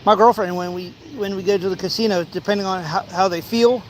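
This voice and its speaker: A man's voice: high-pitched, fairly even intonation, fairly quick, rough recording. A man in his forties chatting casually as a fishing vlogger, rambling through anecdotes and acting out little exchanges. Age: 40s. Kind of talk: casual chat while fishing. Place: outdoors by a pond. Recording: some outdoor ambient noise.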